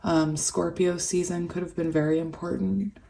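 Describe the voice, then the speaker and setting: A woman's voice: lowish voice, fairly even intonation, normal speed, dark tone. A woman with a cold who has nearly lost her voice, casually chatting through a tarot reading and laughing between thoughts. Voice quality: hoarse. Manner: chatty, laughs often, trails off with fillers. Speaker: tarot reader.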